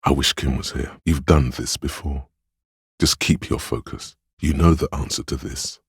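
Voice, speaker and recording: Very deep, grave, compassionate inner voice. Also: male voice, steady tone, normal speed, breathy edge, no room sound, clean studio sound, heavily acted. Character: male inner voice of empathy, grave, compassionate, perceptive. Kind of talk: dramatic game narration, second person.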